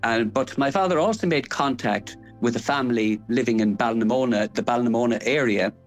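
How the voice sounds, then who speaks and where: A man's voice: medium pitch, brisk, faint music behind. An older man with an Irish accent explaining local history in a scholarly, detailed, digressive way. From Ireland.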